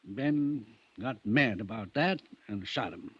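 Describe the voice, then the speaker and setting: A middle-aged actor playing a reluctant, troubled doctor in a vintage radio drama, speaking hesitantly and haltingly. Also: male voice, lowish voice, animated, husky, no echo, heavily acted. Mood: reluctant confession. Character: reluctant, troubled male country doctor, loyal old friend. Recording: dull, dry vintage radio recording.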